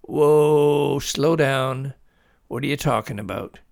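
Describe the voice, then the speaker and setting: A calm middle-aged dad in an audiobook story, voiced by a male narrator, with a gravelly edge. He drawls in a measured, reassuring and slightly sceptical way. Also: mid-range voice. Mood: soothing.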